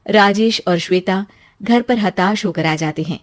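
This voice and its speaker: A woman's voice: mid-range voice, measured pace. A woman narrating a scripted moral story as a storyteller.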